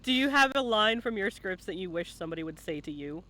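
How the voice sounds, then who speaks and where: Male stream host, casual interviewer. High-pitched, animated delivery, informal questioning. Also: fast.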